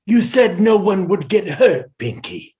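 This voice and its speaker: A man voicing an earnest, optimistic male animal with a husky voice and melodramatic manner, in an animated comedy. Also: high-pitched, unhurried, exaggerated voice. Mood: betrayed. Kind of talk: table read of animated comedy script.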